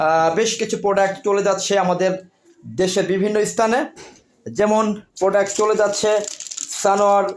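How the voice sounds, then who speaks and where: High-pitched Bangladeshi man pitching products. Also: little pitch movement. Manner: repetitive, deliberate announcing in short phrases. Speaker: product seller and presenter. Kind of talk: sales pitch for electronics.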